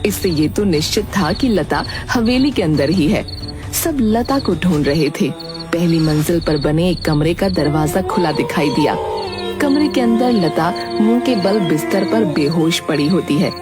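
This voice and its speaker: A woman narrating a scripted animated story as its storyteller. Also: on the low side, a little slow, over music.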